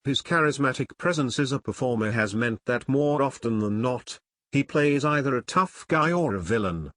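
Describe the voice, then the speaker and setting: A man delivers scripted review narration in a measured, wry manner. His voice sits at an average pitch. The recording is very dry, free of room echo. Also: expressive, a bit bright. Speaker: film reviewer.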